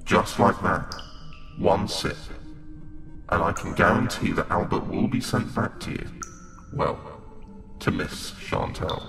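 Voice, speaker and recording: A man's voice: low voice, expressive, slow, gravelly, long pauses, full character voice, rough recording. A man playing a cruel, gloating creature of limbo in an audio horror drama, with a menacing, mocking and theatrical manner. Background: loud dramatic music.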